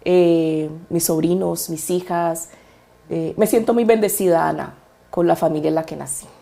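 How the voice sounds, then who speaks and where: A Colombian woman from Cali talks as a podcast guest in a fairly low voice. She speaks with fondness and pauses often, her words broken by hesitations. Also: steady tone, creaky, warm tone. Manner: fond, reflective, hesitant with many fillers. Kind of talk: interview, personal storytelling.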